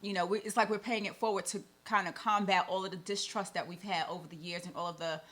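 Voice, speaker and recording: Female radio host, lower-register voice, conversational delivery with plenty of "like" and "you know". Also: fairly even intonation, fast, a bit bright.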